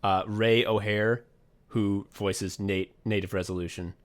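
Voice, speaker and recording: Excitable, profane man rambling. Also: lowish voice. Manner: rambling and profane, heavy on "like", quick bursts of excitement. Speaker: podcast co-host and writer-director of the audio drama. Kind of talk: casual chat looking back on a project.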